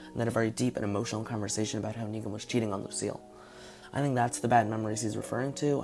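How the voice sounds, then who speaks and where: Hoarse male reviewer, quick delivery, thinking aloud through his guesses, faint music behind. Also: on the low side, darkish tone. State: hoarse, partly lost voice. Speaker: video reviewer. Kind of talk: casual video commentary.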